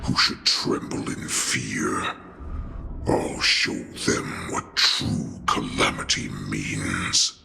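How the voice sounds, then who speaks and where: A man voicing a cruel, contemptuous beast warlord in a video game, with a gravelly growl and a menacing, haughty manner. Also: very deep voice, flat delivery, a little hiss or hum, exaggerated voice. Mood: threatening, commanding. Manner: menacing, haughty, slow and deliberate. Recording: loud music underneath.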